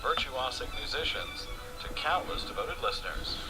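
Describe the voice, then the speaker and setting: A man's voice: mid-range voice, huge pitch swings, moderate pace, over loud music. A man announcing on the radio, heard through a small portable radio speaker.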